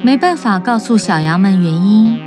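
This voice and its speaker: A woman's voice: mid-range voice, animated, normal speed, over music. A woman storyteller giving scripted narration for a children's tale.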